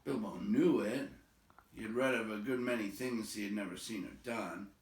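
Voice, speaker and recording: A man reading a storybook aloud as an expressive narrator. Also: lowish voice, moderate pace, echoey room, recorded from a distance. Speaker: storybook reader.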